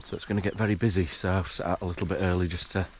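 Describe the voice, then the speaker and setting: A man narrating a morning countryside walk in a relaxed, hesitant way, full of fillers, his voice a little croaky from just waking up. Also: deep voice, very fast, slight background noise. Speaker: hiking vlogger. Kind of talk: casual walking commentary. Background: rural outdoor sounds, animals.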